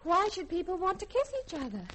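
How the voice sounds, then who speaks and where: Naive young woman: questioning, frank, high-pitched, in a slightly noisy vintage radio drama. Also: sing-song, quick pace, fairly bright tone, heavily acted. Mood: innocent, curious. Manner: ingenuous, questioning. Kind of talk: 1950s radio drama acting.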